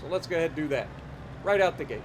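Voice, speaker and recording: Congested, nasal man walking through a game in an easygoing way; a bit high-pitched, with noise in the background. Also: animated, rapid-fire. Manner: easygoing, thinking aloud with fillers. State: stuffy nose, nasal. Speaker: gaming YouTuber. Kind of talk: casual let's-play commentary.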